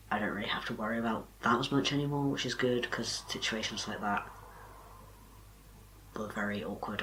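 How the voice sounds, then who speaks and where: A young man, talking casually to camera in a soft, quiet, breathy voice with little power behind it. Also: on the low side, slight background noise, low-quality recording. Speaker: vlogger.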